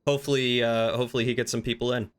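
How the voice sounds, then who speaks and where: A man's voice: mid-range voice, flat delivery, rapid-fire, clean tone. A man livestreaming a role-play game, chatting casually with viewers and explaining things in an informal, rambling way as he thinks aloud.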